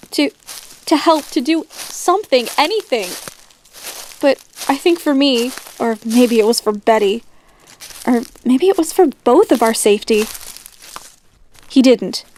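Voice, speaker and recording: A woman's voice: high-pitched, expressive, measured pace, pauses often, slight background noise. An actress playing a confident rich teenage girl who tells her story dramatically in an audio drama. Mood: confiding.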